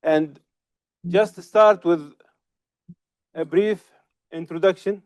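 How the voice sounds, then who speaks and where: High-voiced man lecturing. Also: fairly even intonation, a little mellow. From Syria. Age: middle-aged. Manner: halting, frequent hesitations and restarts. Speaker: research associate giving a seminar. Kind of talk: academic seminar lecture. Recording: slightly dull lo-fi recording.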